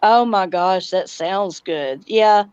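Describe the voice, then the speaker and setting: A woman's voice: medium pitch, slow, a little mellow, smooth, dry recording, muffled recording. A middle-aged woman hosting a casual livestream, chatting and answering viewer comments. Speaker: livestream host and homesteading vlogger.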